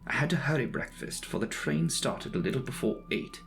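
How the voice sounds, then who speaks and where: Man acting earnest young male traveller, audiobook journal entries, precise, inquisitive, very animated pitch, gravelly fry. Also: a little fast. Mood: earnest, curious. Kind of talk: dramatic audiobook reading of a journal. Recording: music behind the voice.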